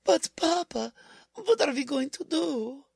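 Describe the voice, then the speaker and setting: A woman playing a timid, frightened German-American housewife in a 1940s-style radio drama, speaking haltingly. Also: sits high, expressive, creaky, a little mellow, no echo, heavily acted. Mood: fearful. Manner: hesitant, halting delivery.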